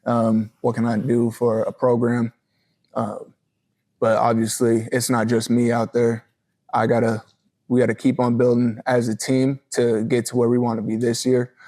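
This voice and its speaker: A man's voice: mid-range voice, completely flat delivery, moderate pace, dark tone. A man in his early twenties giving a casual, earnest and respectful sports interview as a college football player. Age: early 20s.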